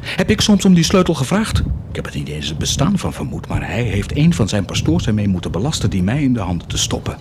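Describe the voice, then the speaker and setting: Proud, mercurial warlord, noisy recording. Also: male voice, on the low side, sing-song, fast. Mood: bitter, blasphemous. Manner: declamatory, mocking, swinging from hushed to shouted. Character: proud, cruel, blasphemous warlord bargaining with God. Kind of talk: theatrical radio drama monologue.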